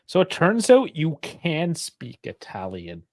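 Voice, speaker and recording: Lively higher-voiced sarcastic man. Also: slow pace, dry recording. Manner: teasing, sarcastic. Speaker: podcast co-host. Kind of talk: casual sports podcast banter.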